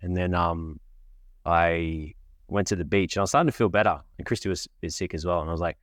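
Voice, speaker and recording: A very deep-voiced man talks in a loose, rambling monologue as a podcast host. He has a cold and a slight cough, and his voice sits dry and close, with no room echo. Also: quick pace, a bit bright. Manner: rambling, conversational. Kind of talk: casual monologue.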